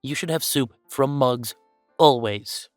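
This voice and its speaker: A man's voice: mid-range voice, slow, dry recording, studio quality, faint music behind, lightly acted. A man playing a playful, easygoing young werewolf boyfriend in a casual roleplay audio, chatting in a teasing, emphatic way.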